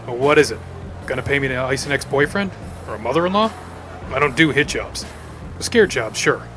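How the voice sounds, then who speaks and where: A man voicing a gruff, blunt male super criminal in an audio drama, with a low, dismissive drawl. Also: brisk, natural voice. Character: gruff male super criminal, cold-gun heist villain. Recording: music behind the voice.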